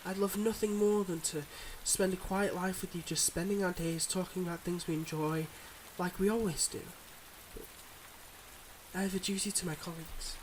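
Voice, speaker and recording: A man playing a devoted but guarded mob boss boyfriend in an intimate ASMR roleplay, speaking in earnest, measured confessions. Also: high-pitched, steady tone, slight background noise, pitched above the performer's own voice, close to an everyday voice, low-quality recording. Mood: tender, then solemn, regretful.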